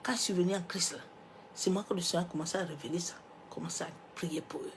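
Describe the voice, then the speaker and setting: A woman pastor with a cold, preaching a sermon. She swings from loud, emphatic declarations to soft, near-whispered asides and drops little rhetorical interjections as she goes. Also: fairly low pitch, fairly even intonation, quick pace, a little hiss or hum. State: has a cold, coughing.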